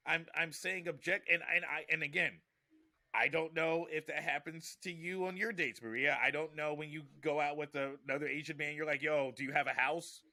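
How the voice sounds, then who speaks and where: A man's voice: on the high side, steady tone, brisk, sharp tone. A man in casual podcast conversation, talking emphatically and often repeating himself as he makes his point.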